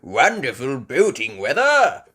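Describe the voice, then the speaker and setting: Lady doing a jolly old boat captain grandpa pig, kids story, loud and hearty, very animated. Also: a bass voice, slow pace, breathy edge, voice pushed up, heavily acted.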